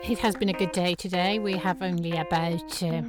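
A woman with a Swedish accent chatting as an interview guest, searching for words in halting English. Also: lowish voice, fairly even intonation, over music. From Sweden. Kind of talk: casual interview chat.

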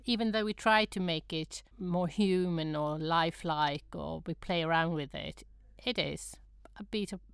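A woman co-hosting a casual podcast, thoughtful and quick to laugh. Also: on the low side, creaky, clean tone, dry recording, studio quality. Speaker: podcast co-host.

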